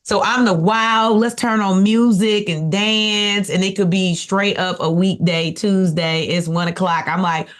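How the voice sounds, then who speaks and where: A woman with a raspy voice, talking casually and playfully about her husband on a podcast. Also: mid-range voice, measured pace, hardly any pauses. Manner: animated, playful, teasing. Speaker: podcast guest, wife. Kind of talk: casual chat.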